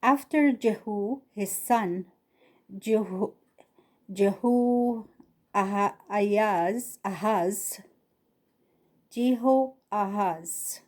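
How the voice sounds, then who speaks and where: A woman for whom English is a second language, reading a Bible story aloud with careful, halting phrasing and pauses between phrases. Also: mid-range voice, darkish tone. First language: not English.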